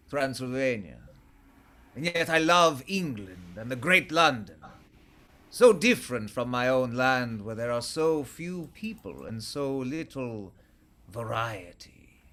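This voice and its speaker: A male vampire aristocrat from Transylvania in a radio drama. He is slow and grave, speaking in a brooding, portentous manner. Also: mid-range voice, big pitch swings, pushed and bright. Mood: wistful, brooding. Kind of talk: radio play acting.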